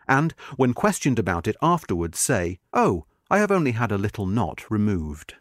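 A man reading a history magazine article aloud in a measured, expressive way, slowing at the ends of sentences. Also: mid-range voice, dry recording, studio quality. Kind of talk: scripted narration.

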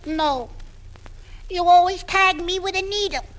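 A woman's voice: very high voice, a little slow. A woman playing a stubborn, mischievous little girl with a childish whine in an old radio comedy. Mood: whiny, suspicious. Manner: childish, whining, terse replies. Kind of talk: 1940s radio comedy acting. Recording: very noisy old radio recording.

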